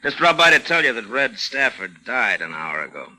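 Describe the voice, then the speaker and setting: A man's voice: mid-range voice, big pitch swings, normal speed, bright, forceful tone. A man playing a wry, suspicious, tough police lieutenant in a 1940s radio drama, with a dry, laconic delivery.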